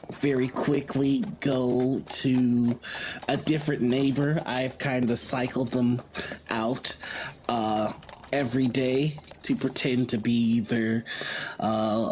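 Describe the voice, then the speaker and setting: A player in a tabletop game speaks very slowly and haltingly, improvising a casual account as their character. The recording carries faint background noise. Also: mid-range voice, a little mellow. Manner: hesitant, halting.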